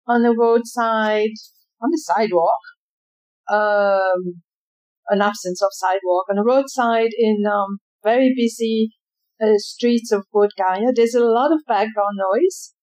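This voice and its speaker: A woman hosting a podcast, who introduces her guest and then interviews her, speaking slowly and thoughtfully with frequent pauses. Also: on the high side, warm tone, dry recording, low-quality recording. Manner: slow, thoughtful, hesitant with pauses. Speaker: podcast host. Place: roadside cafe on a busy street.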